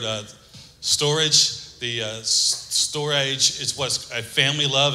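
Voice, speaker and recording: A man's voice: medium pitch, pushed and bright, long reverb tail, rough recording. A man preaching a sermon, asking the congregation to repeat words and joking between points. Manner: preaching with call-and-response and humor. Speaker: pastor.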